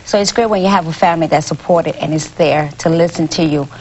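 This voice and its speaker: Low-voiced woman on a dull tape. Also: fast, darkish tone. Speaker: emergency medical worker guest. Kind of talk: casual personal interview answers. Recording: dull, faintly noisy old tape.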